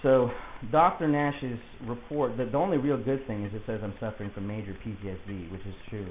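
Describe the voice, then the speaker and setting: A man's voice: medium pitch, fairly even intonation, a little fast. A man speaking as a client to his lawyer, arguing his points insistently and in articulate detail. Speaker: client of a public defender. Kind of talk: argumentative conversation. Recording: hissy, muffled phone-like audio, echoey room.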